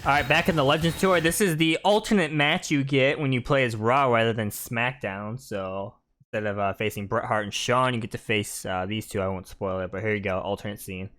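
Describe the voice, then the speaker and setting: A man's voice: mid-range voice, a little fast, a bit bright. A man giving casual, laid-back commentary as the host of a gaming video.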